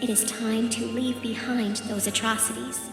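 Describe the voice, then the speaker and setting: An actress playing a wise, consoling guide in a video game, measured and solemn. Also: female voice, a little high, level tone, lightly acted. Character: serene, cryptic female guide, wise and consoling. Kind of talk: video game character acting. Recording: echoing reverb, loud music behind.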